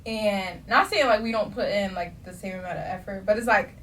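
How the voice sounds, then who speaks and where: A woman's voice: medium pitch, fairly quick, a bit bright. A young woman co-hosting a casual podcast chat, joking and playful in a slangy way. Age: young adult. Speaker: podcast co-host. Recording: distant mic with faint noise.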